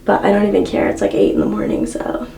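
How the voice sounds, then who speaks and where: A woman's voice: medium pitch, huge pitch swings, fast talker, vocal fry, dark tone, a little hiss or hum. Bubbly young Canadian woman vlogging. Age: young adult. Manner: bubbly, fillers with like. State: stuffed up from a cold. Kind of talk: casual vlog chat.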